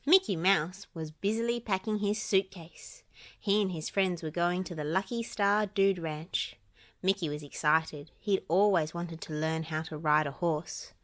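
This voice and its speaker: A woman narrates a children's audiobook. Her voice sits a little low for a woman, and she tells the story expressively. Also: a little slow. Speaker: storybook reader.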